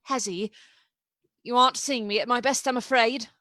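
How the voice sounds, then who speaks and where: A woman's voice: a little high, normal speed, pushed and bright, no echo, clean studio sound. A woman playing an agitated but courteous male asylum patient in a radio play, speaking in a formal, urgent manner. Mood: polite, unsettled.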